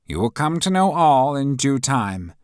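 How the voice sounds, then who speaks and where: A man voicing an excitable, flustered male professor who shouts his lines. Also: medium pitch, unhurried, smooth, no room sound, studio quality, voice pushed up.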